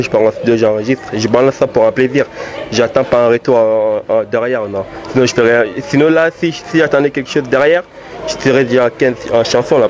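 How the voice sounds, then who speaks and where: A man from Congo, a guest rapper, talking in a casual outdoor interview, often repeating and restarting his words. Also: mid-range voice. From Democratic Republic of the Congo. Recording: outdoor street noise.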